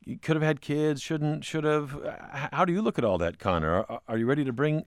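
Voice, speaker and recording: A man hosting a public radio call-in show from the studio, measured when scripted and stumbling on questions. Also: on the high side, no room sound. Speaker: radio talk show host. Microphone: studio microphone.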